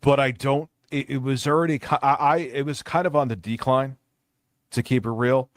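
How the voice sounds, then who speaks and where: A man's voice: medium pitch, fairly even intonation, moderate pace, no room sound. Blunt man talking candidly, dry close sound. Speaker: online content creator. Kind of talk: casual unscripted monologue.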